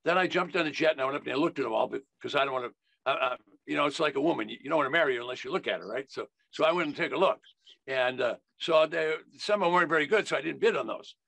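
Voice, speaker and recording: An older American man with a fairly high, creaky voice and a sharp edge. He teaches like a folksy salesman, hammering key phrases. The sound is dry and close, from a video call. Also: very fast, dry recording. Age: older, 70s. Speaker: investing educator. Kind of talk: instructional sales talk.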